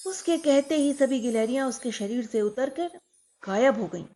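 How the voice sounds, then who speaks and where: A woman narrating a scripted bedtime story for children, addressing kids directly in a clear, expressive way. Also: sits high, moderate pace, faint music behind.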